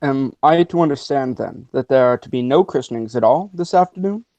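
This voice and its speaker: A dry, slightly muffled recording of a mild country parson, acted by a man. His voice is mellow and his speech pedantic, formal and self-important, in radio play style. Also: medium pitch, expressive, brisk, no room sound, close to an everyday voice. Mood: pompous, genteel. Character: pompous, mild, learned country clergyman. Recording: dry, slightly dull lo-fi audio.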